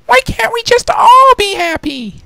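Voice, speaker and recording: This is a tiny, timid girl creature from a fantasy story, put on by a man. The voice is extremely high and squeaky, far from the narrator's own. It stammers and whimpers, always innocent and pleading. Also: very animated, measured pace, gravelly, sharp tone, dry recording, exaggerated voice. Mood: pleading, tearful.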